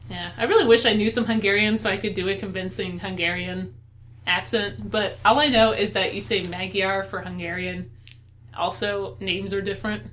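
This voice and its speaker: A woman's voice: medium pitch, steady tone, normal speed, fairly bright tone, a little hiss or hum. A woman co-hosting a podcast in casual chat, rambling and laughing often. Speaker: podcast co-host.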